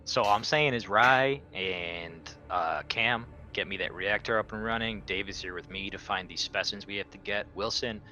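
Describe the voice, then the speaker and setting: A man's voice: on the low side, normal speed, hardly any pauses, sharp tone, faint music behind. A man playing a tabletop roleplaying game, talking casually in a blunt, bossy, sweary way as he takes the captain's part. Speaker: player as the crew captain.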